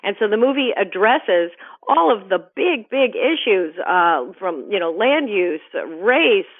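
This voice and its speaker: Woman film critic on a phone line; brisk, enthusiastic delivery, lo-fi phone audio. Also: mid-range voice, lively intonation. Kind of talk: phone-in movie reviews.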